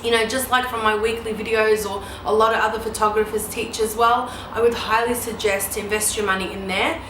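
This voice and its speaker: A woman's voice: a little high, brisk. A woman in her mid-thirties, a photographer answering viewer questions in a casual, chatty way with many ums and you-knows. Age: 30s. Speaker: newborn photographer and educator. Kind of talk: casual Q&A vlog. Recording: echoey room with steady background noise.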